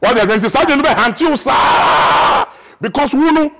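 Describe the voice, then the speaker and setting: A man's voice: extremely high pitch, very animated, normal speed, shouting, a crowd in the background, noisy background. A Ghanaian man in his thirties, a prophet explaining spiritual matters emphatically in an interview, recorded on a lapel mic indoors. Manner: emphatic, gestures widely, mixes Twi and English. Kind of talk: animated interview talk. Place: indoor church hall set with flowers.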